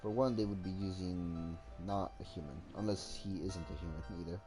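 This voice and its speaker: A man's voice: sits low, fairly even intonation, normal speed. A man giving casual, offhand commentary as he streams a video game. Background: game music.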